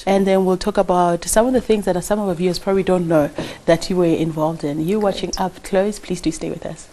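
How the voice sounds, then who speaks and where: A woman's voice: on the low side, fairly even intonation, brisk. A woman hosting a television interview show, asking questions and murmuring agreement often. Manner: engaged, encouraging, frequent murmured agreement. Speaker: TV interview host. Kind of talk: studio interview.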